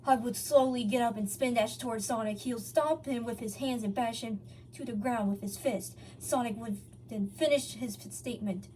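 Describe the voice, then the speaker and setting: A woman's voice: fairly high pitch, normal speed, warm tone, a little hiss or hum, low-quality recording. A woman reading fan fiction aloud as a narrator, sometimes stumbling over words and correcting herself. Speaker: story reader.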